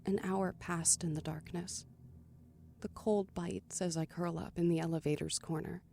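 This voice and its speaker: A woman's voice: fairly low pitch, steady tone, moderate pace, gravelly, mellow, a little hiss or hum. A woman playing an anxious woman trapped in an elevator with a stranger, in an audio thriller. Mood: tense, subdued. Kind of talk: audio thriller acting with first-person narration.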